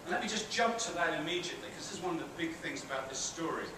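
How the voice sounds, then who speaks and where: A man's voice: a little high, lively intonation, very fast. British man lecturing in an echoey hall. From Britain. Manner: chatty, asks the audience questions, self-deprecating asides. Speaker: university professor giving a guest lecture. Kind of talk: public lecture to students. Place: large theatre hall with an audience. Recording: very echoey hall, far-off and noisy.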